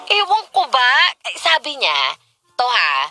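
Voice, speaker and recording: A woman's voice: a little high, wildly swooping pitch, slow pace, pushed and bright, dry recording, soft background music, exaggerated voice. A woman playing an inexperienced, exasperated young girlfriend who confides dramatically in a radio-style drama. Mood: gossipy, baffled. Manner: dramatic, gossipy, exclamatory. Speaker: drama narrator and lead actress.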